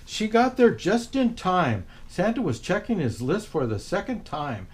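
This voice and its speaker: A man reading a children's picture book aloud as a storyteller, expressive and unhurried. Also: high-pitched.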